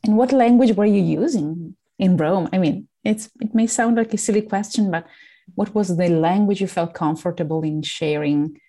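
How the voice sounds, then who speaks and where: A woman moderating a panel, asking polite, measured questions. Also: mid-range voice, warm tone.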